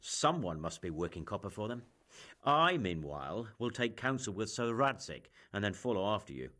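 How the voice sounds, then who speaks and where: An actor playing a shrewd, learned official in a video game, musing and authoritative. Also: male voice, fairly low pitch, sing-song, moderate pace, sharp tone. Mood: brisk, authoritative. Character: learned, shrewd male official leading an investigation.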